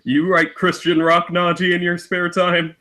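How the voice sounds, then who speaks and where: A man's voice: high voice, monotone, measured pace, pushed and bright. A man chatting casually as a guest commentator, with a loose, joking way of talking. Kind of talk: casual chat.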